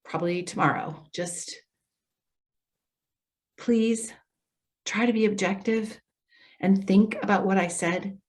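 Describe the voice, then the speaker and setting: A woman's voice: medium pitch, fairly even intonation, slow pace, pauses often, lightly acted. Actress as social worker lady, means well, careful and apologetic, hesitates a lot, stage play reading. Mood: cautious concern. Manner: hesitant, earnest, placating. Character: well-meaning female elder affairs social worker, apologetic, cautious. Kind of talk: readers theater stage acting.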